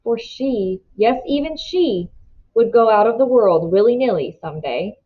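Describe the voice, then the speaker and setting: Higher-pitched woman reading a story aloud. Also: lively intonation, unhurried, dull audio. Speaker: read-aloud narrator. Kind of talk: expressive storybook narration.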